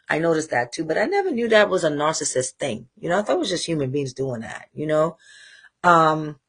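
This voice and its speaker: A woman with a Trinidadian accent hosting a live talk show, speaking candidly and rambling with pauses to find her thread. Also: low-pitched. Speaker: host. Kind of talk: casual livestream monologue.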